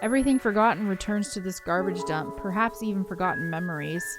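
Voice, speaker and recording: A woman's voice: medium pitch, fast talker, music in the background, close to an everyday voice. A woman reading aloud as an anxious, introspective young ghost woman, hesitant and reflective, in visual novel narration. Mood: apologetic, reflective.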